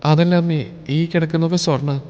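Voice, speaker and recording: A man voicing a frightened young bride who speaks softly and shakily. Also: a little high, steady tone, a little fast, muffled recording, lightly acted. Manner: trembling, pleading. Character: scared tearful young bride. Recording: long reverb, faint music behind.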